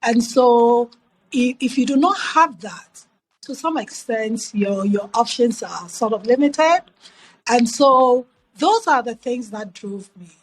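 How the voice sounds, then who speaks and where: Middle aged lady w ghanaian accent, kinda high voice, interview guest telling her story, thoughtful n measured. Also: animated, a little hiss or hum, low-quality recording. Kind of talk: personal life story in an interview.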